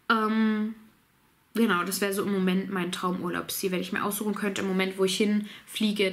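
Woman in her 30s with a cold, relaxed chatty q&a to camera at home, close up. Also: mid-range voice. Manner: relaxed, chatty, laughs easily, reads out questions. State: has a cold. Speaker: mom vlogger answering viewer questions. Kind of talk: casual chat to camera. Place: small home office indoors.

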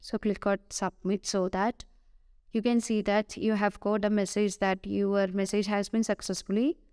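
A woman with an Indian English accent giving a screen-recorded software tutorial, hesitant, with many "uh" fillers and self-corrections. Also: medium pitch, level tone, normal speed, clean tone, dry recording, studio quality.

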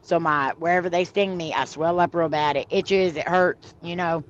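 A woman hosting a live stream over a video call, telling stories in a chatty, animated way and laughing as she goes. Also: on the low side, normal speed, a little hiss or hum. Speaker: host of a paranormal live stream. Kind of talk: casual storytelling chat. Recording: slightly muffled video-call audio.

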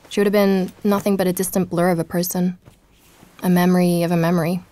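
An actress playing a determined woman journalist, narrating her podcast investigation in a measured, inquisitive way. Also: fairly low pitch, darkish tone, close to an everyday voice. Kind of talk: scripted podcast narration in audio drama.

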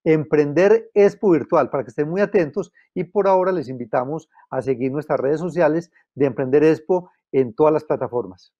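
A man's voice: a little high, normal speed, few pauses, warm tone, highs cut off. A man hosting a business show, enthusiastically announcing news over a video call. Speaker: show host.

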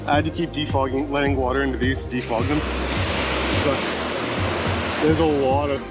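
Easygoing man with loud music behind. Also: medium pitch, moderate pace. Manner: relaxed, matter-of-fact, giving tips. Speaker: travel vlogger, co-host. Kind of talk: casual chat. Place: outdoors at a beach.

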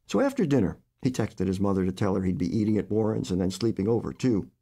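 Man with a lively, mellow reading voice. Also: lowish voice, fast talker, creaky. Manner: expressive, animated storytelling. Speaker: author. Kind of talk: reading his own short story aloud.

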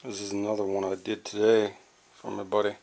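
A man's voice: fairly low pitch, completely flat delivery, fairly quick, vocal fry. A middle-aged man in a cluttered home workshop, talking through his toolmaking in a rambling, matter-of-fact way, with half-muttered asides into a handheld camera. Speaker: hobby toolmaker. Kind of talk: workshop tutorial. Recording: loud workshop noise behind.

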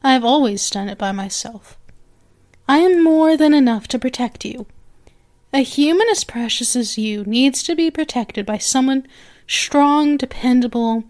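Woman acting a high-voiced, possessive snake girl. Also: unhurried, a little mellow. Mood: jealous, scornful. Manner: coaxing, hesitant, trailing off and restarting. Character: possessive, eager young female lamia, a snake girl monster. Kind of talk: ASMR roleplay acting.

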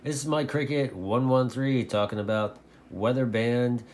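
A man vlogging casually about his hobby in a folksy, halting, repetitive way. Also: medium pitch, steady tone, darkish tone. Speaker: video host, radio hobbyist. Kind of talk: casual rambling vlog talk. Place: indoor home radio shack. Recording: clearly noisy home recording.

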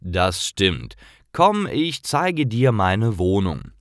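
Man acting welcoming young man, slow and expressive. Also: lowish voice, dry recording, clean studio sound, full character voice. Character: welcoming young man, helpful host and explainer. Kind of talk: acted storybook dialogue for language learners.

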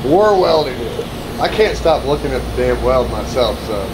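A man's voice: medium pitch, big pitch swings, moderate pace, noisy background. A man trading jokes and profane trash talk with his crew in a garage. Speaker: shop crew member. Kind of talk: casual shop banter.

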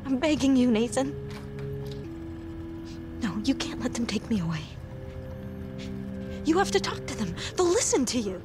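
A woman playing an upset, defiant teenage girl in a video game drama, speaking in an emotional, halting way. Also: fairly high pitch, very animated. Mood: pleading. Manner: emotional, halting, pleading and protesting. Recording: music under the voice.

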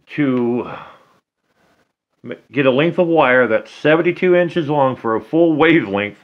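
A middle-aged man giving a casual hands-on repair tutorial at a table indoors, talking slowly and haltingly, half to himself as he works. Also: mid-range voice, animated, muffled recording. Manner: slow, halting, talks to himself while working. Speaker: DIY hobbyist demonstrating a repair.

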